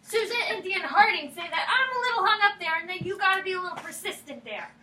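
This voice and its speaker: A woman acting a guarded, flustered small-town tomboy in a staged play reading, blunt and excitable, repeating words in bursts. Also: very high-pitched, quick pace, full character voice. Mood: indignant, shrill. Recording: roomy, distant microphone, faint noise.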